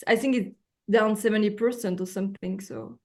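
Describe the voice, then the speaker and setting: A French woman speaking English as her second language, an actress chatting casually on a video call, often pausing for words and restarting. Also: medium pitch. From France. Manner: searching for words, frequent fillers and restarts. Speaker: actress, guest.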